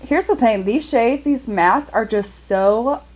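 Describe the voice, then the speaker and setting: A woman's voice: a little high, slow pace, darkish tone. A young woman chatting casually through a makeup tutorial, upbeat and full of fillers, her voice a little cracky today. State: slightly cracky voice. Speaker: beauty vlogger.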